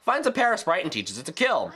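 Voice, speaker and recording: Man, scripted review commentary, very lively pitch, bright forceful tone, sarcastic and exasperated. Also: fast.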